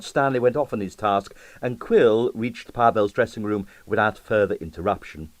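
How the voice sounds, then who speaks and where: A man's voice: lowish voice, darkish tone, no room sound. A man reading a comic mystery story as a scripted, dramatic audiobook narration.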